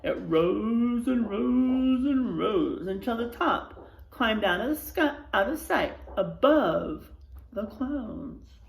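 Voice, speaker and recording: A woman reads a children's tale aloud with lively, swinging intonation. Her voice has some creak, and the room adds echo. She breaks off for asides to her listeners. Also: fairly high pitch, slow pace. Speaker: read-aloud storyteller. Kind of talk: expressive children's story reading with asides to listeners.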